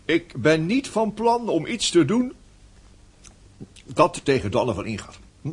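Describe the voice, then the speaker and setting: Radio play: lazy, jovial family man, blustery and stubborn, animated delivery, slightly rough voice. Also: fairly high pitch, a little slow, full character voice. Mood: breezily stubborn. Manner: blustery, hesitant repetitions, hums to himself. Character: lazy, stubborn, jovial husband and amateur composer. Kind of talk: radio drama acting.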